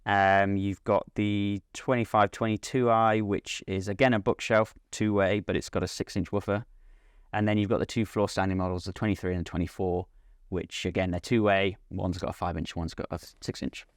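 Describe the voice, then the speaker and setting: A man speaking as a company representative in an interview, giving measured, unscripted technical explanations of a product. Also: low-pitched, little pitch movement, smooth, dry recording, studio quality. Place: at a hi-fi trade show.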